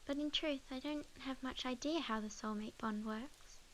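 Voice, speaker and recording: High-voiced, tender young witch, acted by a woman, Australian accent. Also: moderate pace, a little hiss or hum. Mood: wistful, musing. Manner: soft, hesitant, sometimes dropping to a whisper. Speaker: ASMR audio roleplay performer. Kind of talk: soft, intimate ASMR romance roleplay.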